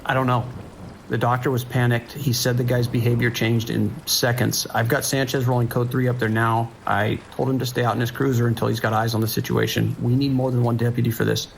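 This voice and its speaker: A man's voice: mid-range voice, level tone, fairly quick, creaky, natural voice, rough recording. A man playing an anxious police dispatcher in an audio drama, urgent and clipped, holding the line. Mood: shaken. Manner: urgent, clipped radio procedure, hesitant when shaken. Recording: rain and ambient noise behind.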